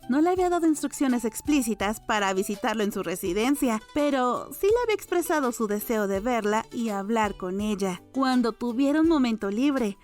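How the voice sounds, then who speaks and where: A woman's voice: a little high, darkish tone. A woman reading scripted novel narration as an audiobook storyteller. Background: music.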